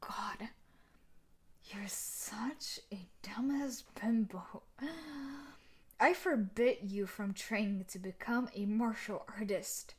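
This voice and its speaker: A woman's voice: mid-range voice, slow pace, a little mellow, breathy edge. A woman playing a tough, sadistic, possessive bully and martial artist in a roleplay audio drama, taunting and condescending. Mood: contemptuous, threatening.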